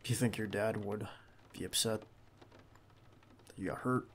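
A man playing a protective, reassuring bodyguard in an intimate ASMR roleplay, speaking in a hushed, halting, gravelly voice close to the listener. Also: on the low side, fairly even intonation, slight background noise, close to an everyday voice. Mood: confiding. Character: protective young male bodyguard, calm and reassuring.

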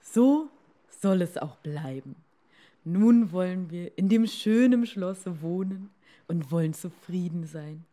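A meek fisherman from a storybook, voiced by a woman, speaking a little lower in a mellow tone. He is easily contented, reluctant to ask for more and sounds downcast. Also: lively intonation, slow.

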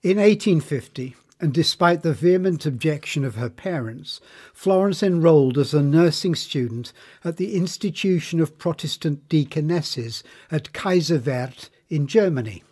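A man reading a scripted documentary narration in a formal, measured manner. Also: on the high side, expressive, a little mellow, husky.

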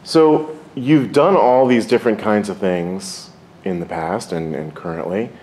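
A man with a somewhat low voice hosts an interview. He asks short, friendly questions in an upbeat, encouraging way. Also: a little slow, darkish tone, a little hiss or hum.

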